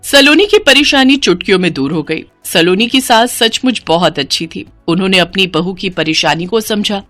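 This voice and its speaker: A woman narrates a scripted story in a mid-range voice. She is the storyteller, reading out the tale. Soft music plays behind her narration. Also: medium pitch.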